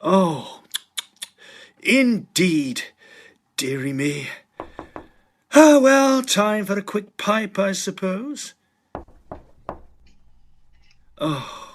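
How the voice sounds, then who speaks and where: A man's voice: high-pitched, big pitch swings, extremely slow, long pauses, exaggerated voice. An actor playing a polite, sensible young male medical student in a period audio drama, courteous and a little hesitant. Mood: amiable, courteous. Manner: hesitant, courteous, gently admonishing.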